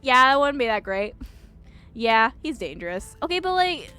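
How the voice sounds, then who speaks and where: A woman with a high voice streams a visual novel. She is excitable and expressive, quick to giggle. Her delivery moves between reading the text aloud and giving her own live commentary. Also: clean tone, faint music behind.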